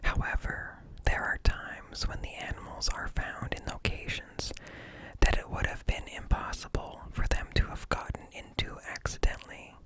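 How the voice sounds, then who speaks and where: A man reading informational text in a slow, hushed, breathy whisper for ASMR, close to the microphone. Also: rough recording. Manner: slow, deliberate, hushed, broken into short phrases. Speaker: ASMR host. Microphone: close ear-to-ear microphone.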